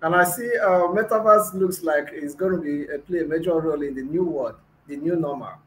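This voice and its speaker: A man's voice: on the high side, brisk, fairly bright tone, a little hiss or hum, low-quality recording. A man hosting an online interview, speaking with frequent hesitations and fillers. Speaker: interview host.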